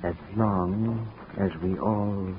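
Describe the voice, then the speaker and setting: An actor playing a brooding, restless composer brother in a formal, theatrical 1940s radio drama style, on a muffled old recording. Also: male voice, fairly low pitch, fairly even intonation, slow pace, heavy background noise.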